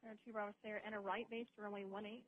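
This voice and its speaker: A woman's voice: mid-range voice, level tone, very fast. A woman working as an air traffic controller, giving flight instructions over the radio in procedural phrases with informal asides. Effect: aviation radio. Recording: narrow-band air traffic radio, hiss.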